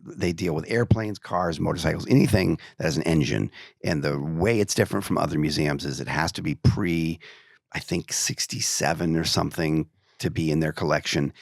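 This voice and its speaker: A man from Maine with a very low, gravelly voice, talking on a podcast. He muses aloud and puts on lively quoted questions as he makes his points. The sound is close and dry. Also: moderate pace, no room sound. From Maine, USA. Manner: reflective, speaks in quoted questions with big pitch jumps. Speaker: podcast guest. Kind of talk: casual podcast chat. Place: podcast studio.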